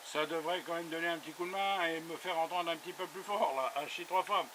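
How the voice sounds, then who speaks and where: A man's voice: on the high side, steady tone, fast talker. A man talking casually as a radio operator over a two-way radio, chatty and full of little verbal tags. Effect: two-way radio transmission. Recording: noisy buzzing radio transmission.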